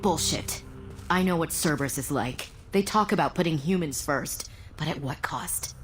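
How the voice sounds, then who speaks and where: An actress playing a proud, hurt, loyal soldier in a video game, speaking earnestly and accusingly. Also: female voice, low voice, moderate pace, close to an everyday voice. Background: loud music.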